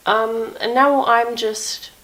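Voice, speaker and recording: A woman's voice: on the high side, steady tone, slow, fairly bright tone, poor audio. A young woman telling stories from bed in a chatty, wry, self-mocking way, sniffly and coughing from a cold. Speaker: vlogger. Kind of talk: casual storytelling vlog from bed.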